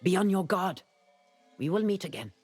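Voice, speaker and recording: An actor playing a grave, secretive guardian of ancient magic in a fantasy game, with a husky, solemn and measured delivery. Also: male voice, high voice, animated, a little mellow, faint music behind. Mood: grave warning. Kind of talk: dramatic fantasy game acting.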